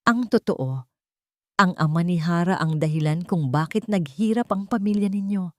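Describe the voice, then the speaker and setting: A woman as a grave, gentle old grandmother. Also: on the low side, lively intonation, moderate pace, no echo, clean studio sound, lightly acted. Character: grave elderly grandmother revealing a secret, gently calming. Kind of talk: dramatic storybook narration.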